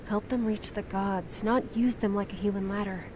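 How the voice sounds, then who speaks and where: A woman's voice: medium pitch, steady tone, normal speed, close to an everyday voice. Woman acting a resolute young heroine, noisy backdrop. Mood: earnest pleading. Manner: earnest, composed, firm. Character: resolute, principled young heroine, calm and earnest. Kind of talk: dramatic fantasy game voice acting.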